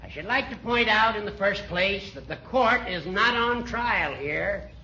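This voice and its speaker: An actor playing a crotchety, sanctimonious old judge in 1940s radio comedy style, formal, pompous and scolding. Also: male voice, high voice, expressive, measured pace, pushed and bright, exaggerated voice. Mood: stern. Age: older. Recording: muffled, hissy 1940s radio broadcast.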